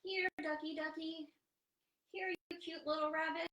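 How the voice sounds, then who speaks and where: A woman voicing an excitable, insistent children's storybook character in an emphatic, sing-song way. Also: very high-pitched, normal speed, reverberant, voice pushed up. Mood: eager. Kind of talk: children's picture book read-aloud.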